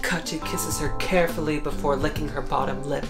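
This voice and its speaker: Woman, story reader, low voice, slow deliberate narration, guitar music. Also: steady tone. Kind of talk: dramatic reading of fan fiction.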